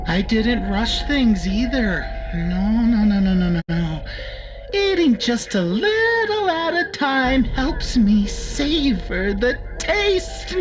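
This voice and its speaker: A sadistic man-eating cartoon cat played by a woman toys gleefully with its prey. The high voice swoops wildly through very slow, theatrical taunts, playful and menacing. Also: full character voice. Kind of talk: theatrical villain monologue, character voice lines.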